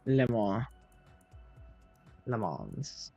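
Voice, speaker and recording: A young man in his late teens with a loud, projecting voice, rambling casually to his viewers while he games and answers their messages. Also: fairly low pitch, wildly swooping pitch, extremely slow, gravelly. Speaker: streamer. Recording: slightly muffled, faint game music behind.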